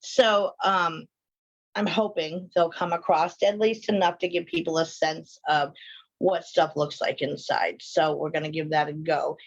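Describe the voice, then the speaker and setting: A woman with a fairly low voice hosts a casual livestream. She chats informally to viewers and rambles from one aside to the next. She sounds congested and sniffly, and the audio is somewhat dull and lo-fi. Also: fairly even intonation, measured pace. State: sinusy, sniffly from allergy. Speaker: host of a live online show.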